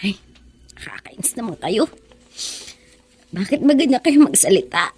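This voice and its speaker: A woman's voice: medium pitch, big pitch swings, normal speed, a little mellow, a little hiss or hum, natural voice. A woman playing a devoted, tender young daughter with emotional, pleading delivery in a Filipino radio drama. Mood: worried, pleading.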